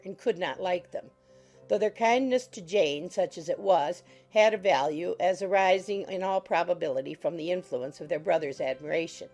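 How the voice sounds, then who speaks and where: Woman reading a classic novel, bit low with some vocal fry, measured and formal, music behind. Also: darkish tone. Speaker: audiobook narrator. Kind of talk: scripted literary narration.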